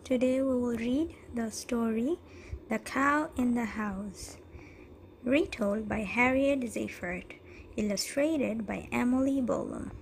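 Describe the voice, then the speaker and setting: A woman reading a children's story aloud in deliberate, simple phrases as a storyteller. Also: a little high, creaky, slight background noise, poor audio.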